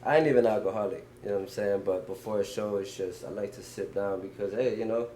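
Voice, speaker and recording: A man's voice: fairly low pitch, quick pace, a crowd in the background. A young man, a guest music artist, chatting casually in an interview with loose slangy talk and a lot of "you know what I'm saying".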